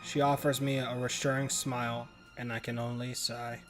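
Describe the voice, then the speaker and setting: A man's voice: medium pitch, little pitch movement, normal speed, over music. A man reading visual novel narration aloud as a let's player, occasionally stumbling and rereading words.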